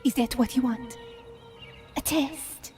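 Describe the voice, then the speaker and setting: A woman's voice: high-pitched, takes it slowly, a little mellow, slightly rough, faint music behind, heavily acted. A woman playing a tough, wary resistance leader in a video game cutscene, curt and challenging. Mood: wary challenge.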